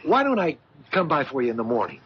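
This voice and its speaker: An actor playing a devoted, easygoing young suitor in a 1970s radio drama, speaking with breezy, earnest affection. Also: male voice, fairly high pitch, wildly swooping pitch, fast talker. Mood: affectionate. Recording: muffled, noisy old radio broadcast.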